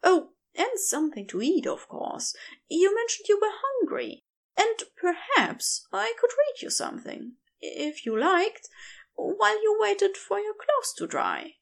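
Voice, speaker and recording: A woman plays a gentle male centaur from a fantasy storybook, shy and bookish. The voice is high and breathy, swooping with flustered, polite eagerness. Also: very animated, unhurried, heavily acted. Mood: shy, eager. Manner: hesitant, polite, eager rambling.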